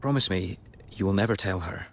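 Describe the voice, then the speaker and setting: An actor playing an earnest, high-minded young progressive man in a radio drama, solemn and hesitant. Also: brisk. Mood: pleading. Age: young adult.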